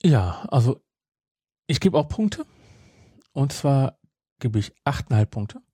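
Mellow, slightly husky man, casual podcast talk. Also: mid-range voice, big pitch swings, slow, dry recording, studio quality. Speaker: podcast co-host. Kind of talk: casual review chat.

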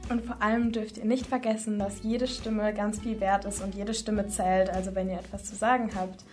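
A woman's voice: mid-range voice, level tone, normal speed, a little mellow, soft background music. A young woman giving interview answers on the radio as a student representative. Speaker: studio guest, student representative.

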